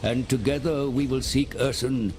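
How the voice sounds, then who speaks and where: An actor playing a servile, scheming advisor, giving a solemn, coaxing briefing in a game. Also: male voice, mid-range voice, fairly even intonation, normal speed, background noise, close to an everyday voice. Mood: coaxing counsel. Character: servile, scheming male advisor to an ogre lord.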